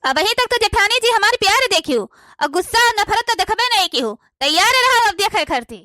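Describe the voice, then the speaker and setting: A woman's voice: extremely high pitch, sing-song, brisk, sharp tone, no room sound, clean studio sound, full character voice. A woman playing a quarrelsome, self-pitying village housewife in a cartoon comedy, complaining and scolding with an Awadhi accent. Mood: resentful griping.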